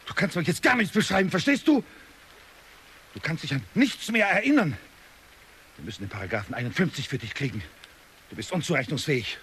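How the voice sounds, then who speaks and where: A man's voice: on the high side, big pitch swings, brisk, pauses often, a bit bright, a little hiss or hum. An actor playing a bitter, exasperated middle-aged father who is easily enraged, in a German radio drama. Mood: furious, despairing. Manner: exasperated, scolding, brooding.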